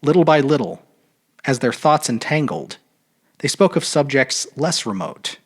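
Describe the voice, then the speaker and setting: A man narrating a literary short story aloud in an expressive, measured, dramatic audiobook style. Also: mid-range voice, breathy edge. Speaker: storyteller reading fiction aloud.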